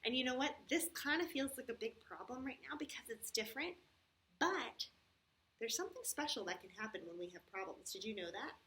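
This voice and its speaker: A woman hosting a story time for young children, talking in simple, patient, expressive phrases with frequent questions. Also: fairly high pitch, brisk. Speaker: children's church story host.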